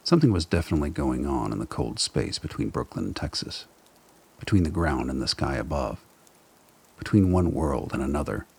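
A man's voice: a bass voice, big pitch swings, brisk, creaky, husky. A man narrating a scripted radio drama as a storyteller.

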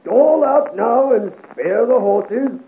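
A man's voice: very high-pitched, expressive, slow pace, heavily acted. An actor playing a coachman calling out orders in a 1950s radio serial. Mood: urgent. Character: coachman shouting orders on the road. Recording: muffled, hissy old radio serial recording.

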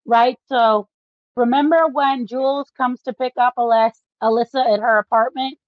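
High-voiced woman chatting about books. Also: steady tone, moderate pace, dry recording. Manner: explanatory, enthusiastic fan. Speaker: podcast co-host. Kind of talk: casual book discussion. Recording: slightly dull, dry recording.

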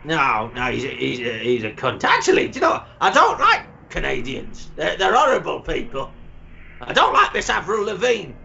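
High gravelly guy rambling crude jokes, stammers then shouts, bit muffled. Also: huge pitch swings, normal speed. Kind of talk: crude comedic chat. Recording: slightly muffled with faint noise.

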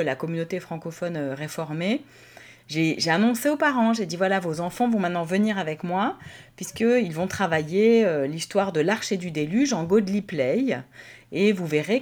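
A woman's voice: on the low side, darkish tone. A woman, a pastor co-hosting a podcast, telling stories in a hesitant way with many fillers. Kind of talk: casual conversational chat.

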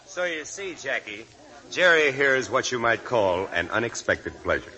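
A man's voice: medium pitch, very animated, normal speed, crowd noise, lightly acted. An actor playing a tipsy, cynical American man who rambles in slangy, ironic wisecracks, in 1940s radio drama style. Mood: boozy, teasing. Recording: dull 1940s radio broadcast, faint music.

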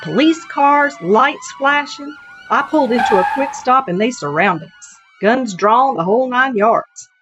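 A woman's voice: fairly high pitch, animated, unhurried, a bit bright, voice pushed up. A woman reading a story, playing a good-humoured, folksy female cab driver telling an anecdote. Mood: excited. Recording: dull lo-fi audio, background noise.

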